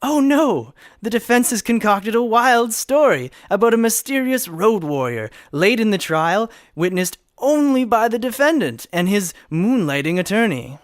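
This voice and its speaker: A man acts out an exasperated hotshot prosecutor in a comedy trial. His voice sits a little high and is recorded very dry. He is sardonic and theatrical with the witness and the court. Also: big pitch swings, moderate pace, hardly any pauses, no room sound. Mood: mocking, heated. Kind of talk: scripted comedy courtroom acting.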